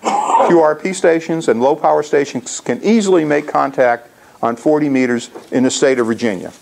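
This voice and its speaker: Male, 60s, creaky gravel in the voice, informal club presenter talking through slides. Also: a little high, normal speed, very noisy. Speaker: amateur radio club presenter. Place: indoor lecture room.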